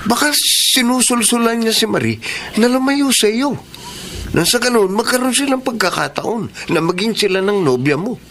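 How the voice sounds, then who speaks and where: Nosy suspicious pal, high voice that jumps all over, pushy n gossipy, filipino drama. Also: male voice, lively intonation, normal speed, fairly bright tone, slight background noise, full character voice. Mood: suspicious, urging. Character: meddling, suspicious male buddy, gossipy and insistent. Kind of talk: Filipino radio-style drama acting.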